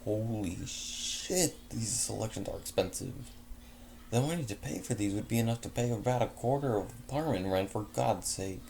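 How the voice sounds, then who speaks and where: A man's voice: lowish voice, animated, moderate pace, vocal fry, darkish tone, a little hiss or hum. A man reading a first-person fanfic aloud in a casual way, often stumbling, correcting himself and making chatty asides. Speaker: fanfic reader.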